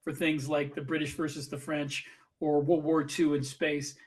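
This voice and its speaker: A man's voice: a little high, flat delivery, moderate pace. A man in a casual podcast discussion, explaining things and giving advice in a conversational way. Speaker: podcast host and author.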